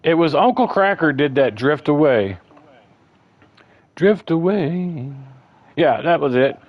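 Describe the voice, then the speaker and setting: Bassy man chatting on a lo-fi stream. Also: expressive, slow, no echo. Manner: rambling, chatty storyteller, calls people bro. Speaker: livestream host. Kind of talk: casual chat.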